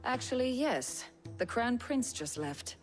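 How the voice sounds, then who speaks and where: An actress playing a stern, dryly sardonic healer in a fantasy video game. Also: female voice, fairly high pitch, sing-song, music in the background. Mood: brisk. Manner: sardonic, brisk, disapproving. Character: dry, stern woman healer in a fantasy hideaway. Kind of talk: cinematic video game acting.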